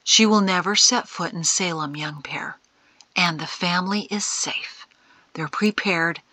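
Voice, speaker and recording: A woman voicing a calm, wry old mentor in an audiobook, breathy and half-whispered, unhurried and gently chiding. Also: fairly low pitch, no echo. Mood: calm, reassuring. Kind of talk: audiobook character acting.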